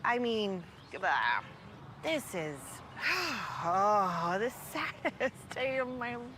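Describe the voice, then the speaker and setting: A woman's voice: medium pitch, very animated, drawn-out words. An actress playing a gushing, sentimental mom in a comedic TV commercial, with noisy ambience behind her. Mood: tearful, reluctant. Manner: melodramatic, gushing. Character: sentimental mom overcome at parting with her van.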